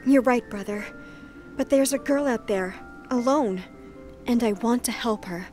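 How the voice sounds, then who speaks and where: A woman's voice: on the high side, unhurried, a little mellow, soft background music, lightly acted. A woman playing a determined, compassionate young goddess in an audio drama, speaking earnestly. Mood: earnest, resolute. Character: young adult goddess, warm, determined, compassionate twin sister. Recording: long hall reverb.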